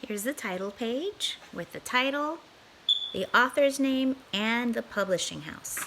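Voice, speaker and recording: A woman in her forties reading a children's storybook aloud in an expressive narrating style. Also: a little high, a little slow, noisy background. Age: 40s. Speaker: storybook reader.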